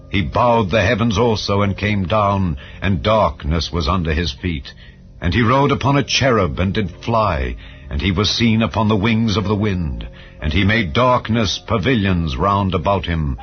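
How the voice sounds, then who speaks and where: Low deep guy reading the bible, solemn n measured, kinda muffled lo-fi audio w music behind. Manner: measured, declamatory delivery of archaic English. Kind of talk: solemn dramatized scripture reading. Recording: dull, lo-fi audio under music. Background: background music.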